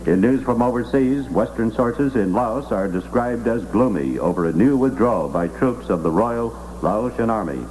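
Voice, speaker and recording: Male radio news anchor, deep voice, lively intonation, formal scripted delivery, old AM broadcast with background hum. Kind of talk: scripted 1960s radio newscast and live ad reading. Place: radio studio. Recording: vintage 1960s AM radio recording, noisy hum.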